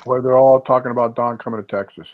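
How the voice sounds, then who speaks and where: A man reads viewers' comments aloud on a casual livestream and teases the people around him. His voice sits at an ordinary pitch. The audio is muffled and lo-fi. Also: little pitch movement, fast. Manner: teasing, mock complaining. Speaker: co-host reading live chat. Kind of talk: reading chat comments aloud.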